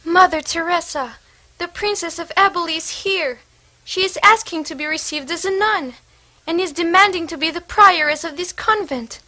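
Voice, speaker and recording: A woman's voice: very high-pitched, normal speed, sharp tone. A woman playing an anxious, deferential convent nun in a historical stage play. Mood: urgent.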